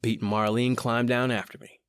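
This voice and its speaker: A man's voice: medium pitch, flat delivery, normal speed, smooth, no echo, studio quality, lightly acted. A man with an American accent playing a cocky, slangy small-time crook in an old-time radio horror drama. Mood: wry, uneasy. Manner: slangy, colloquial, conversational. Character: cocky wisecracking small-time male crook telling his own eerie tale. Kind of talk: old-time radio horror acting, first-person narration and dialogue.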